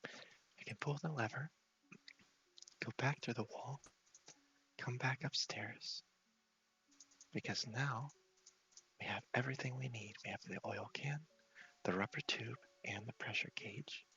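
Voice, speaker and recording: A man giving soft, whispery ASMR commentary over a game, casually thinking aloud in a low-key way. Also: a little slow, pauses often, highs cut off. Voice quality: soft, breathy, often whispery. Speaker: gaming video host.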